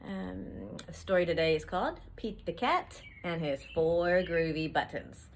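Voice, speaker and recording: A middle-aged woman reading a picture book aloud to young children on an outdoor patio, expressively, with drawn-out questions and singsong refrains. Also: fairly low pitch, creaky. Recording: outdoor recording with faint background noise.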